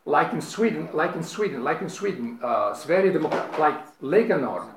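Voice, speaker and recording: Halting male panelist in an echoey room. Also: fairly high pitch, lively intonation. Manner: halting, many restarts and tag questions. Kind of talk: panel talk on politics. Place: lecture room. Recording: echoey room, faint noise.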